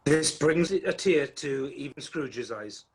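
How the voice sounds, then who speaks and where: A man's voice: fairly high pitch, expressive, takes it slowly. A man acting as the storytelling narrator of an amateur Christmas radio play, reading a script. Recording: noisy home video-call recording.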